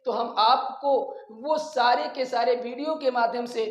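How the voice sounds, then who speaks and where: A man teaching a grammar lesson, explaining rules deliberately and repeating his phrases. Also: very high voice, steady tone. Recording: echoey room sound.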